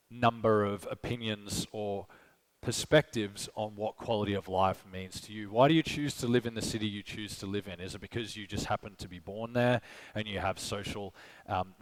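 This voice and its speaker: A man with an Australian accent giving a conversational conference talk, asking the audience questions and often checking in with "okay?" and "right?". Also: on the low side. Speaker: conference speaker. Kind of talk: live keynote presentation. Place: conference stage.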